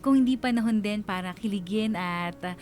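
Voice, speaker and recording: A woman's voice: medium pitch, fairly quick, darkish tone, lightly acted. A woman playing a lovestruck young woman confiding her story in dramatic narration, with a Filipino accent. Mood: wistful, puzzled. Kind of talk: scripted dramatic first-person narration.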